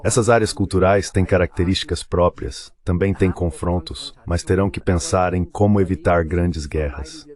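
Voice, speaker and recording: Male interpreter, very low voice, measured and explanatory, panel voice-over. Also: fairly even intonation, a little mellow. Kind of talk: translated voice-over of a panel discussion.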